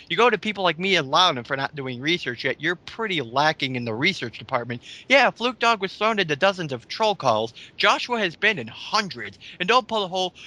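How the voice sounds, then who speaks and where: A man's voice: fairly high pitch, bright, forceful tone. A blunt, sarcastic, foul-mouthed man giving mocking commentary on an online post with others.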